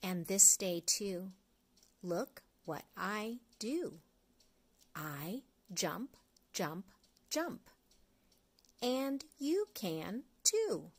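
A middle-aged woman reading an early reader picture book aloud to young children, phrase by phrase in a deliberate way. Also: medium pitch, sing-song, breathy edge. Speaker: storyteller, children's read-along host.

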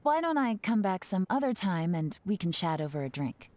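A woman's voice: mid-range voice, sing-song, moderate pace, a little mellow, no room sound, lightly acted. A woman doing a cool, cunning female spy. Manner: smooth, polished, playfully deceptive. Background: game music.

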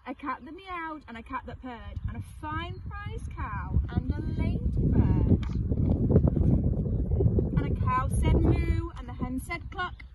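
A woman reads a children's story in a high voice at an unhurried pace. She is expressive and speaks as if to young listeners. There is clear noise behind her. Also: phone-quality sound. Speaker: storybook reader. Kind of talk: children's story read aloud.

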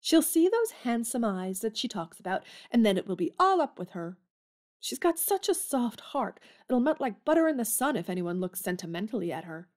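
A woman playing Jo, a spirited, blunt teenage girl in an amateur audio drama, talking quickly with sudden exclamations. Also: on the high side, a little mellow. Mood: indignant.

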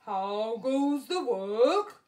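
A woman voicing a lazy, demanding old male farmer in a storybook, with a drawn-out, booming call. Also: expressive, a little mellow, distant mic.

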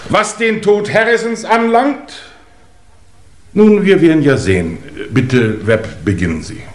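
An actor playing a self-important, official-sounding police chief inspector in a 1950s German radio play, declamatory. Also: male voice, on the high side, expressive, a little slow. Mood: pompous, official. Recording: roomy, slightly noisy vintage radio.

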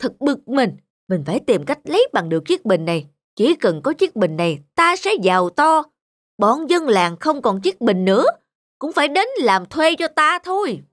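An actor playing a greedy, scheming middle-aged village landlord in a cartoon folk tale, blustering and exclamatory. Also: male voice, very high-pitched, very animated, normal speed, fairly bright tone, no room sound, clean studio sound, full character voice. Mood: scheming, annoyed.

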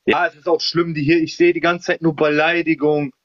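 A young man hosting a live stream who speaks briskly, cuts in and asks pointed questions. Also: fairly high pitch, flat delivery, a bit bright, no echo. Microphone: phone in a live video call. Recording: dry lo-fi phone audio.

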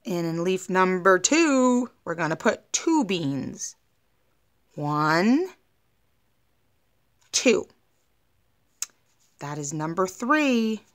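A woman's voice: lowish voice, fairly bright tone. A middle-aged woman giving young children a simple, step-by-step hands-on lesson as a preschool teacher. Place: carpeted living room at home.

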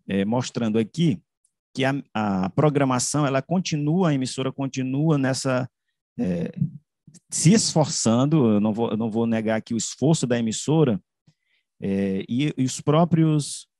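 Brazilian man presenting research, haltingly. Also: medium pitch, warm tone, no room sound, clean studio sound. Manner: halting, with frequent self-corrections and tag questions. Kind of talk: academic slide presentation, semi-improvised. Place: indoors, on a video call. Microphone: computer mic on a video call.

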